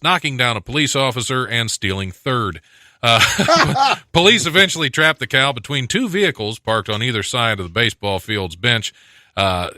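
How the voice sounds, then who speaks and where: A man's voice: mid-range voice, no room sound, clean studio sound. A man hosting a podcast in casual chat, laughing often and reading news stories aloud. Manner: laughs often, animated and bright. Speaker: podcast host.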